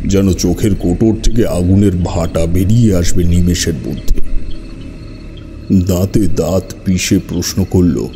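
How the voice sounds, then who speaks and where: A man's voice: deep voice, a little slow, warm tone, faint music behind. A man narrating a scripted first-person horror story in a dramatic, literary storytelling manner.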